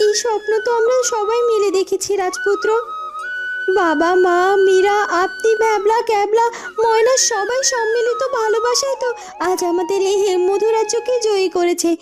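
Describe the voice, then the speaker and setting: A woman playing a kind young heroine in an animated fairy tale, earnest and reassuring. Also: very high-pitched, normal speed, music in the background. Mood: hopeful.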